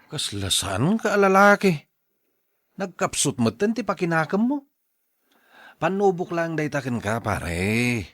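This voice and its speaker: A man's voice: fairly high pitch, sing-song, unhurried, long pauses, a bit bright, no room sound, clean studio sound, heavily acted. A man with an Ilocano accent acting a blunt, scolding male friend in a radio drama, emphatic and exhorting.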